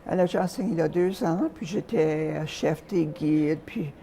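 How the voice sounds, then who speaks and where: Older woman, from Calgary, mellow warm voice, hesitant, soft-spoken, faint hiss behind. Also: low voice, a little slow. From Calgary, Canada. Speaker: interview guest. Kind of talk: reminiscing in an interview.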